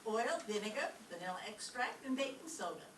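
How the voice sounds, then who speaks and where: A woman's voice: on the high side, animated, a little fast, fairly bright tone. A woman playing an earnest, homely lady in an old-time radio comedy, speaking matter-of-factly. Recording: echoey stage, distant mic, clear noise.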